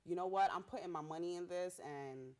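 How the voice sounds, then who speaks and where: A young woman rapper from Haiti, a Haitian Creole speaker, telling her story in a bubbly, animated way with street slang. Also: lowish voice, a little fast. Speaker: rapper guest. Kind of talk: casual interview.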